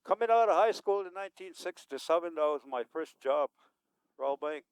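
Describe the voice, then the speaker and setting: A man's voice: a little high, lively intonation, normal speed, clean tone, no room sound. A man in his seventies giving rambling, unscripted remarks at a community event, chuckling often as he talks. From Manitoba, Canada. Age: 70s.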